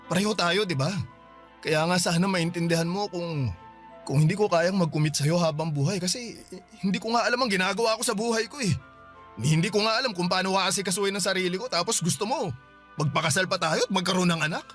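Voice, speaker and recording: A man's voice: sits high, fast talker, fairly bright tone, faint music behind. A man acting a tired young man defending himself in a radio drama argument with his girlfriend. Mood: arguing, weary. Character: weary young man in toxic relationship.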